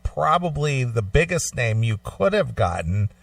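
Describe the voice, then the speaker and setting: A man's voice: mid-range voice, big pitch swings, a little slow, creaky, no room sound, recorded from a distance. A man hosting a radio show, talking in a casual interview style.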